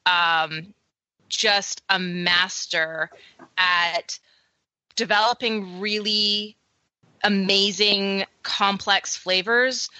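Bright, dry-sounding Canadian woman co-host. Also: medium pitch, fairly even intonation, very slow, dry recording, low-quality recording. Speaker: podcast co-host. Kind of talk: casual podcast chat.

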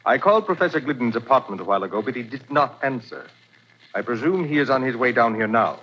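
A prim museum doctor in an old radio drama, his formal, precise phrasing moving through a lively pitch range. A dull, lo-fi recording with faint hiss. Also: fast talker. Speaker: museum director. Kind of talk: 1940s radio acting.

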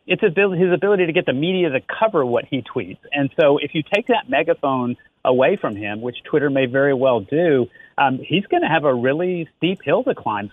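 A man's mid-pitched voice comes through a narrow, phone-like line. He speaks as an interview guest, laying out his analysis conversationally and dropping in small asides as he explains. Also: dry recording. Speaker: interview guest, company chief executive. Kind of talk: conversational news commentary. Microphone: remote phone-like connection.

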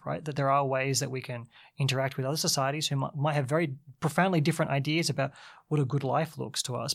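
Gravelly thoughtful man. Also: medium pitch. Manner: thoughtful, hesitant restarts. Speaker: podcast co-host, anthropologist. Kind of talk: casual academic discussion.

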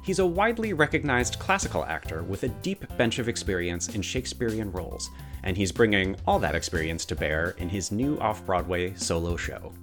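Male interview host, lowish voice with very lively intonation, conversational podcast delivery, music in the recording. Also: fairly quick.

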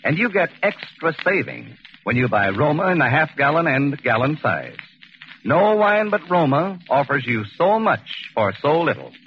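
A man's voice: fairly high pitch, sing-song, moderate pace. An American man reading sponsor copy as a 1940s radio announcer. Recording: muffled, hissy 1940s radio recording.

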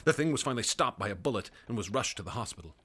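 Radio play: a deep, husky, grave narrator-doctor. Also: male voice, lively intonation, fairly bright tone. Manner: grave, measured. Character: grave male doctor recounting horrors as a witness.

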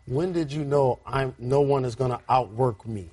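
A man from Detroit co-hosts a basketball talk show with a voice of middling pitch. He gives his opinions confidently and teases the others now and then. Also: normal speed, warm tone, husky. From Detroit, USA. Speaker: co-host, basketball analyst. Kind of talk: casual sports talk.

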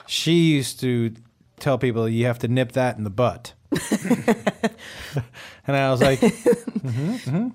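A man's voice: medium pitch, animated, takes it slowly, long pauses, a little mellow. A young man co-hosting a podcast, joking and teasing in casual chat. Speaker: podcast co-host.

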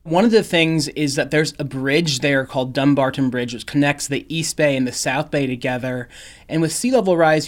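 A young adult male reporter explaining a local story in a casual way, with some fillers. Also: fairly high pitch. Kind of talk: radio news feature narration.

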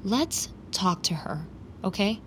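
A woman's voice: medium pitch, expressive, slow pace, fairly bright tone, a little hiss or hum. An actress playing a guarded, earnest woman, the pleading conscience of a dangerous pair, in a naturalistic audio drama. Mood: hushed, firm. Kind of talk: audio fiction drama acting. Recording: music underneath.

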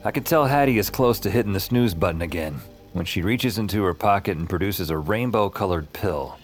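A man's voice: sits low, animated, brisk. An actor playing a wry, uneasy hero who tells his own story in terse, confiding audio drama narration. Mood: wry, wary. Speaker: narrator and protagonist. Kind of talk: first-person scripted audio drama narration. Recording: music behind the voice.

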